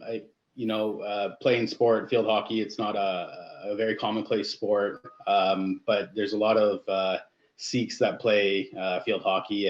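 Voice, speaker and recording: A fairly low-voiced man moderates a panel, pausing often with "uh" and "you know". His voice rings in a roomy space, and the recording sounds dull and lo-fi. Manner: hesitant, many fillers. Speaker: guest host and coach.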